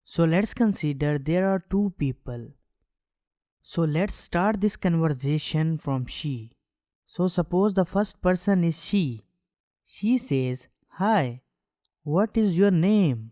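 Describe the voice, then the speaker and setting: Brief: male English teacher, mellow tone, measured voice-over, dry close sound. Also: on the high side, no room sound. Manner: slow, careful delivery with non-native phrasing. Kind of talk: scripted lesson narration.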